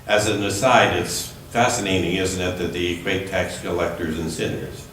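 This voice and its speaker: A man reading scripture aloud at a church service in a measured, deliberate way. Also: low voice, fairly even intonation, fairly bright tone. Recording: echoey room, distant mic, faint noise.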